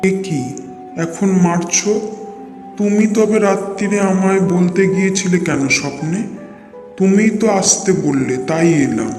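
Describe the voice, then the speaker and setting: An actor playing an earnest, persistent but wary man seeking a guru, pleading and muttering doubts, in an animated horror story. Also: high voice, measured pace. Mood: aggrieved. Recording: echoey reverberant voice over clear music.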